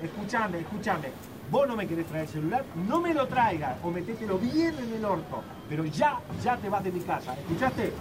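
Man playing a furious high-pitched Argentine worker, noisy surroundings. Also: very animated, normal speed. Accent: Rioplatense Argentine. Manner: aggressive, stammering repetitions. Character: hot-tempered, crude male factory worker on the phone. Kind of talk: naturalistic radio drama acting. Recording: noisy ambient location sound.

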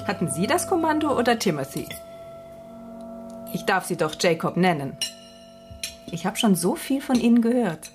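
A woman's voice: mid-range voice, sing-song, normal speed, pauses often, over music, natural voice. An actress playing a gracious, inquisitive society hostess and mother past middle age in a literary radio drama.

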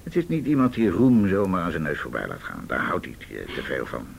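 A man's voice: deep voice, very animated, a little fast, vocal fry, a little hiss or hum, full character voice. An actor playing an easygoing, teasing but reasonable male police colleague in a radio drama. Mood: reasoning. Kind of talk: Dutch radio drama acting.

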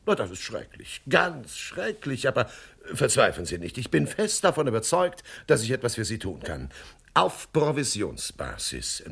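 A man's voice: mid-range voice, wildly swooping pitch, moderate pace, vocal fry, a bit bright, slightly rough, full character voice. An actor playing an eager, scheming small-time club man who exclaims and wheedles with feigned sympathy in a 1970s radio crime comedy. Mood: effusive sympathy.

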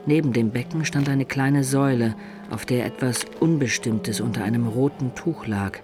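A man narrating a dark adventure tale in the first person for a German radio play, measured, composed and literary. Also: medium pitch, music in the background, natural voice. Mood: composed, mysterious.

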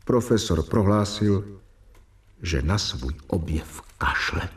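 Troubled doctor, slightly distant, expressive. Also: male voice, low voice. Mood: agitated, brooding. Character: troubled male doctor, assistant to a professor. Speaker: actor in a radio drama. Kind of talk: dramatic radio narration.

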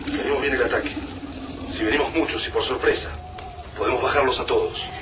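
A man's voice: medium pitch, fairly quick, recorded from a distance, background noise, close to an everyday voice. A man playing a tense lieutenant leading fighters in a radio drama, speaking hurriedly. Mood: focused, tense.

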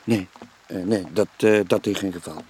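An actor playing a weary, guilt-ridden middle-aged sailor with a Flemish accent, in a brooding radio drama style with a husky, breathy voice. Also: male voice, deep voice, fairly even intonation, dark tone, a little hiss or hum, lightly acted. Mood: uneasy, reluctant. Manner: brooding, halting confession. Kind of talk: 1980s Dutch-language radio drama acting.

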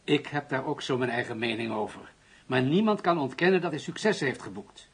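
A man's voice: medium pitch, expressive, brisk, slightly rough. An actor in a Dutch radio play playing a formal police commissioner who firmly and reasonably argues his case. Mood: measured, defensive. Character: male federal police commissioner firmly defending undercover methods.

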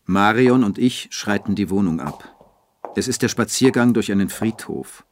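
An actor playing a disillusioned writer who narrates his life in a radio play, in a measured, literary way. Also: on the low side, expressive, slight background noise. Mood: sombre.

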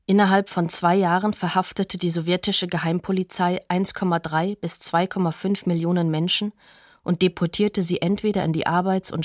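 A woman narrating a scripted documentary in a factual, measured manner. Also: fairly low pitch, darkish tone, dry recording, clean studio sound.

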